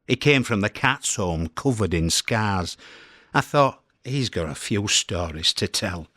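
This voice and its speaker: A man's voice: on the low side, lively intonation, unhurried, pushed and bright, no echo, studio quality, exaggerated voice. A man performing a comic radio monologue as a chatty British cat owner, fond and aggrieved, telling tales in a wry, conversational way. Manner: wry, conversational storytelling with emphatic swoops. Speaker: actor performing a comedy monologue.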